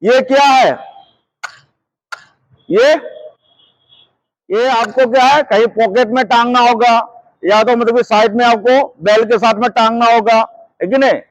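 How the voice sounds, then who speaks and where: High-pitched man giving hands-on instruction. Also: fairly even intonation, a little slow, long pauses, dry recording, rough recording. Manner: repetitive, checks understanding often. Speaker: security guard trainer.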